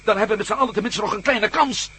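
An actor playing a male adventurer hero in a radio play, lively and full of questions. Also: high-pitched, very fast, a little hiss or hum.

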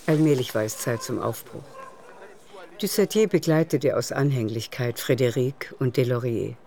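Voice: mid-range voice, moderate pace, creaky, dark tone. A narrator reading scripted literary prose in a radio drama. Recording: music underneath. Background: music.

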